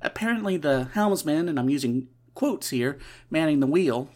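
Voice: mid-range voice, lively intonation, moderate pace, a little mellow. A podcast host with a stuffy, nasal voice, recapping a story in a casual way with wry, sarcastic asides. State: stuffy nose.

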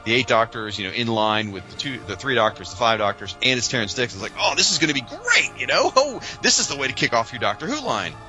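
A man co-hosting a podcast, chatting casually and informally like an animated fan. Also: medium pitch, quick pace, hardly any pauses, faint music behind. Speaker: podcast co-host.

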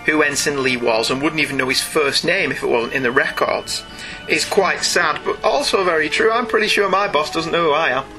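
A man's voice: a little high, fairly quick, pushed and bright, poor audio. A man chatting casually as co-host of a comic-book review podcast, opinionated and wry. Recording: music behind the voice. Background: music.

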